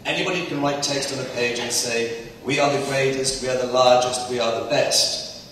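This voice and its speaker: A man giving a loud, energetic conference talk, with frequent ums and uhs. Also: medium pitch, moderate pace, a little hiss or hum. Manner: loud, energetic presenter with fillers. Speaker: conference speaker. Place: large conference room. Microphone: distant, mic trouble. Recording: echoey hall from far off.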